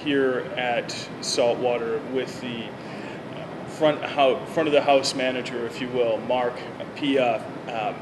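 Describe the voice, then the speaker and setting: Male field reporter, mid-pitched, relaxed questioning, heavy background noise. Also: slow. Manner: conversational, easygoing questions. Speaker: interviewer reporter. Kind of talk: field interview. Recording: loud background noise on location.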